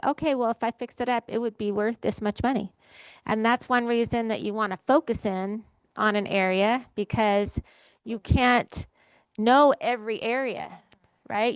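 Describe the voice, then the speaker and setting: A woman's voice: fairly high pitch, clear, resonant tone, no echo. A woman moderating a real estate panel, explaining her points in a practical, direct way. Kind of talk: seminar panel talk.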